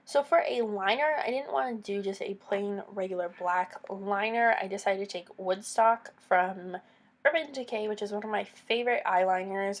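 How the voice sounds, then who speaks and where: A young woman with a stuffy, nasal voice from a cold, chatting through a makeup tutorial voice-over as a beauty vlogger. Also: medium pitch. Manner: chatty, explains each step with casual asides.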